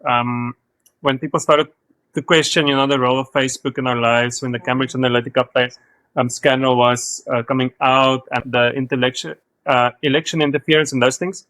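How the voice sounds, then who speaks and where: A man's voice: mid-range voice, level tone, a little fast, fairly bright tone, no echo, rough recording. A man in his thirties with a South African accent, talking as a guest in a podcast interview, hesitant, with many fillers and restarts. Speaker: guest, studio co-founder.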